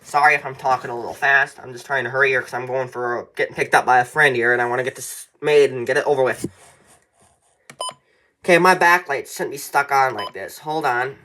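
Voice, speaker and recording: Casual man presenting over clear background noise. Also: medium pitch, steady tone, fairly quick, pushed and bright. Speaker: tutorial presenter. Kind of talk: casual hands-on gadget tutorial. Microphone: handheld camera.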